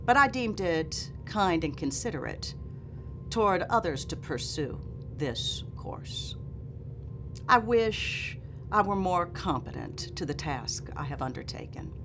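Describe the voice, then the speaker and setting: A woman reading as an earnest, dignified nineteenth-century memoirist who was once enslaved, in a formal, solemn and emphatic manner. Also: lowish voice. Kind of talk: dramatic reading of a memoir preface. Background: music.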